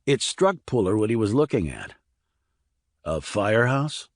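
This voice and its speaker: A man narrates a crime thriller audiobook. His voice sits on the low side. The recording is dry, with hardly any room around the voice. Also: huge pitch swings, fairly quick, a little mellow, no echo.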